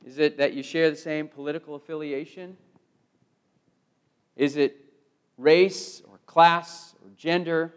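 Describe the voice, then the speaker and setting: High-pitched American man preaching a sermon. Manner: emphatic, with rhetorical questions and repeated phrases; swings from loud proclamation to hushed phrases.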